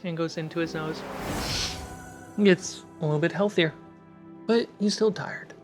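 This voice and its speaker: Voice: mid-range voice, unhurried, darkish tone. Tabletop game master, narration, background music. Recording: music bed under the voice.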